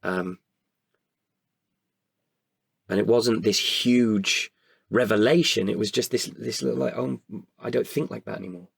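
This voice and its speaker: Deep, gravelly British man, speaking candidly. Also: moderate pace, dry recording, clean studio sound. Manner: hesitant, candid, thoughtful. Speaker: podcast guest, performer. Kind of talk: reflective interview conversation.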